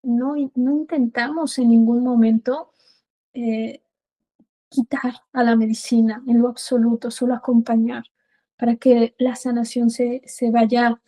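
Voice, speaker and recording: A Mexican woman speaks as a podcast guest in a fairly high, mellow voice. Her melody stays quite flat and even. She answers earnestly and haltingly, repeating words as she finds her way. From Puebla, Mexico. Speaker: podcast guest, biodecoding practitioner. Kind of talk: conversational interview answers.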